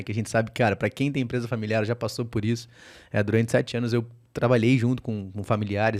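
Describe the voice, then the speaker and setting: A man hosts a podcast at a brisk clip, chatty and informal. He speaks straight to his audience in a friendly, casual register. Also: mid-range voice, a little mellow. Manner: friendly, addresses viewers directly, casual slang. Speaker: podcast host. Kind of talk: podcast hosting.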